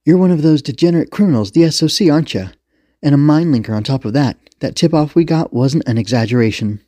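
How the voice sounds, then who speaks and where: Man putting on a brash, barking policewoman, pitched up. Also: expressive, quick pace, mellow, dry recording, natural voice. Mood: threatening. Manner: taunting, barking, slangy. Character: brash, foul-mouthed, cocky young policewoman commander.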